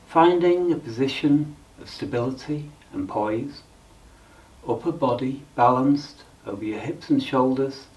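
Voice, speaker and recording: A man leading a guided meditation in a calm, measured, instructional way with frequent pauses. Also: mid-range voice, distant mic, low-quality recording.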